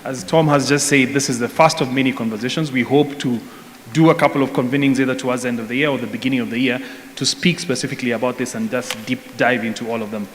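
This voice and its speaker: A man hosting a conference event, handing over to the next session with brisk announcements. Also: medium pitch, steady tone, big-room sound, a little hiss or hum. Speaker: event host.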